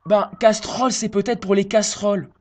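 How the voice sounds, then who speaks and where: A man's voice: high-pitched, little pitch movement, moderate pace, natural voice. A man playing a confused, stubborn elderly customer, hesitant and naive, in a prank phone call. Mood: naive, puzzled. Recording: phone line with noise.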